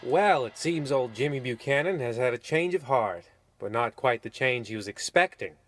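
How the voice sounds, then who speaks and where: A man's voice: medium pitch, expressive, normal speed, faint music behind, natural voice. A man playing an arch, mock-ominous host narrating a parody old-time radio mystery in formal tones. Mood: wry, ominous. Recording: noisy recording with clicks.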